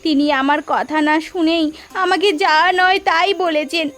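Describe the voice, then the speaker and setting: A woman's voice: very high-pitched, fairly even intonation, a little slow, a bit bright, soft background music. A woman voicing a young, devoted newlywed wife in a cartoon story, plaintive and pleading. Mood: hurt. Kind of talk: animated story character acting.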